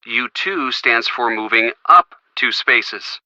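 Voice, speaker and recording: A man's voice: medium pitch, animated, slow pace, sharp tone, dry recording, heavily acted. A man playing an affectionate, playful young boyfriend giving help in a video game phone call. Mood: helpful. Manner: playful, teasing.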